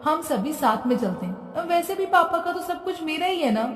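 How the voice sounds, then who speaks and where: A woman's voice: extremely high pitch, expressive, moderate pace, voice pushed up. A woman voicing a spoiled, whiny young bride in a cartoon story, speaking in a petulant, exasperated way. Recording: roomy echo, distant from mic.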